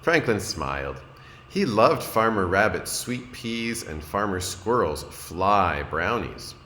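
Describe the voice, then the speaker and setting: Slow, low-voiced man reading to children. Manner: expressive, deliberate, addresses young listeners with questions. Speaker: kindergarten teacher. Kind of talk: storybook read-aloud for young children. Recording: roomy echo, noticeable background noise.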